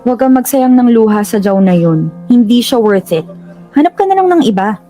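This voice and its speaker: An actress playing a sarcastic, blunt young adult Filipina daughter in a radio drama. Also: female voice, a little high, expressive, a little fast, warm tone, lightly acted. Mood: exasperated. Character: sassy, exasperated young adult daughter scolding her mother. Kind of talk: Tagalog radio drama acting. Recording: music bed under dialogue.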